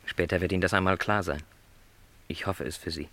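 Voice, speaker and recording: Low, bright-voiced resigned salesman. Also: steady tone, fairly quick, dry recording, full character voice. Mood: courteous. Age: middle-aged. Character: lonely middle-aged travelling salesman, resigned, courteous, self-doubting. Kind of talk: literary radio play dialogue.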